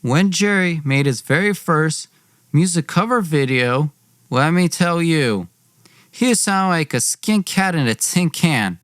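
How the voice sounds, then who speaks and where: A man's voice: on the high side, expressive, takes it slowly, a bit bright, no echo. Young man telling a story, dry recording. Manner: informal, wry, acts out dialogue. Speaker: podcast host. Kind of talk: dramatic personal storytelling.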